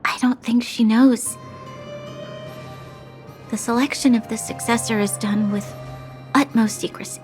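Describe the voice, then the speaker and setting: A woman's voice: a little high, steady tone, measured pace, long pauses, natural voice. A woman playing an earnest, self-doubting young noblewoman in a video game, speaking formally with a hesitant, introspective manner. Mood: solemn. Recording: music behind the voice.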